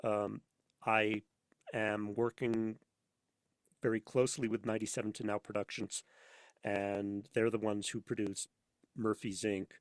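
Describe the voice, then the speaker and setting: A man chatting casually as a podcast guest, speaking haltingly and ramblingly with emphatic, theatrical flourishes. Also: on the low side, flat delivery, smooth, no echo. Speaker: podcast guest, audio drama voice actor and sound editor. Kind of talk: casual interview chat.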